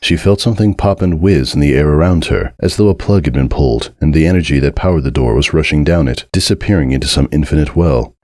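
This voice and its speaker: An extremely low male voice delivers dramatic, scripted story narration. The sound is very dry and close, with no room around it. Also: fast, hardly any pauses, darkish tone, no room sound.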